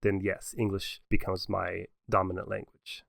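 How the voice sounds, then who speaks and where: A young adult man co-hosting a podcast, talking in a relaxed, reflective way and laughing often. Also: sits low, normal speed, vocal fry, dry recording, studio quality. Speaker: podcast co-host. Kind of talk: casual conversation.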